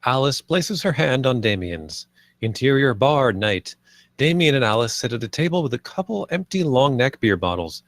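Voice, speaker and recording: A man's voice: medium pitch, sing-song, normal speed, dry recording, clean studio sound. A man reading out stage directions and scene headings as narrator at a film table read.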